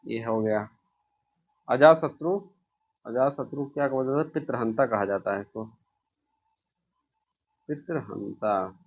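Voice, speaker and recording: A man's voice: medium pitch, slow pace, pauses often, phone-quality sound. A man from India teaches an informal exam revision lesson, posing questions, answering them himself and repeating key answers.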